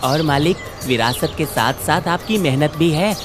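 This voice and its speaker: A man's voice: fairly high pitch, moderate pace, lightly acted. A man playing a simple, loyal village eatery helper in a cartoon story. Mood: admiring. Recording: music under the voice.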